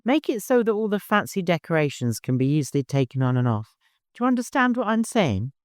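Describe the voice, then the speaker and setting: A man voicing a hurried, no-nonsense master organizing a caravan in an audiobook, courteous but commanding. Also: fairly high pitch, sing-song, no room sound, studio quality, pitched above the performer's own voice. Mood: grave, commanding.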